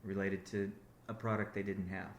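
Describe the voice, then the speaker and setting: A man's voice: sits low, flat delivery, moderate pace, echoey room, slight background noise. A man giving a rambling business update on a conference call, often restarting his sentences. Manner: rambling, self-correcting, many restarts. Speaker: company founder on a conference call.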